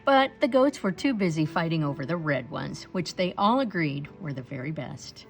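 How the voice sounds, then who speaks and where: A woman reading a picture book aloud to children in an expressive storytelling style. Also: sits low, moderate pace, soft background music. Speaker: storybook read-aloud reader.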